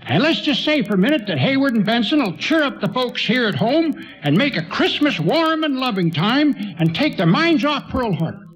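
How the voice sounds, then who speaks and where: An eager old vaudeville comic, super high pitched, bouncy and cheerful, radio play. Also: male voice, sing-song, normal speed, hardly any pauses, a bit bright, full character voice. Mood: eager, scheming. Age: older. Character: eager old male vaudeville comedian, cheerful, money-minded. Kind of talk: radio play comedy acting. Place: stage before an audience.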